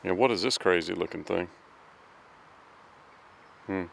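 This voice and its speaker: A man's voice: sits low, a little slow, creaky. A middle-aged man giving a casual walking-tour vlog outdoors in a city square, chatting to his viewers as friends. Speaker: travel vlogger. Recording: noisy outdoor street recording.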